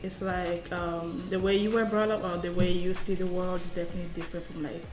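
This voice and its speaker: Soft-spoken young woman, echoey room. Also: fairly low pitch, level tone, brisk, music in the background. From Cameroon. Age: 20s. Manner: soft-spoken, many "like" fillers, hesitant. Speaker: guest. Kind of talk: casual interview chat.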